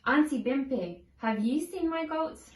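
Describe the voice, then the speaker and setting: A woman reading a children's storybook aloud in simple, deliberate phrases, acting out the dialogue expressively. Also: sits high, lively intonation, noisy background. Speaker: storybook reader. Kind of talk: children's read-along storytelling with acted dialogue. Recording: echoey room, distant from the mic.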